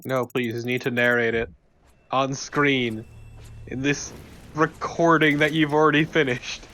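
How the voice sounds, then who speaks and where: A man's voice: mid-range voice, flat delivery, measured pace, bright, forceful tone. A man co-hosting a let's play, chatting casually in a blunt, dry, sweary manner. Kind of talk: casual gameplay chat.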